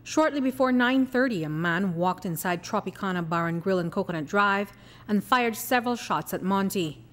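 Belizean woman reading the news. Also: mid-range voice. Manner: measured, even newsreader delivery. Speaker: news reporter. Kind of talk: scripted news narration.

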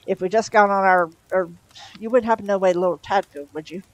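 A woman's voice: mid-range voice, quick pace, no echo, lightly acted. A woman voicing an impatient, sarcastic male demon with curt, dismissive lines. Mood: brisk.

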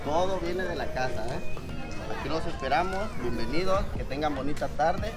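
Coastal man serving, loud music. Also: a little high, lively intonation, moderate pace, a loud crowd. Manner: uses diminutives and "eh?" tags. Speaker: restaurant server. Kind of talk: casual, hospitable presentation of dishes. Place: open-air palapa beach restaurant.

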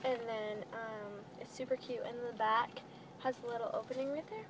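High-voiced teenage girl, noisy car recording. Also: steady tone, moderate pace. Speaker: vlogger showing a clothing haul. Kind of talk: casual chat to camera. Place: inside a parked minivan. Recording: noisy phone-style recording inside a car.

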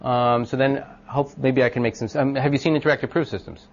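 Loud-voiced male lecturer for an informal class talk, mid pitch, muffled old recording. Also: steady tone, quick pace. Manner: thinks aloud with many ums and restarts, asks the class questions.

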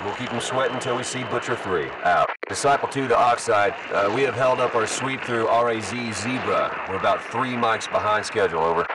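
An actor playing a brisk, no-nonsense field soldier reporting in clipped procedural phrases in a shooter game. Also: male voice, on the low side. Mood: brisk, alert. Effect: radio filter. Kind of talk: game voice acting, military radio chatter. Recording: very noisy radio, distant from mic.

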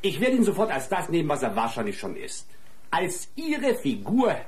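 A man's voice: fairly high pitch, huge pitch swings, normal speed, a little mellow. An actor playing a talkative, mocking man in a radio drama, teasing with pompous rhetorical questions. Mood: mocking, theatrical. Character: theatrical, mocking male acquaintance, talkative. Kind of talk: German radio crime drama acting.

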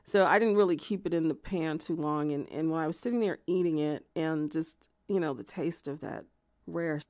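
A woman's voice: deep voice, normal speed, a little mellow, no echo, studio quality, close to an everyday voice. A woman playing a jilted, obsessive lover in an audio theatre monologue, confessing in a hesitant, conversational ramble with self-justifying, indignant edges. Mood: evasive, confiding. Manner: hesitant, filler-strewn rambling that flares into swearing indignation.

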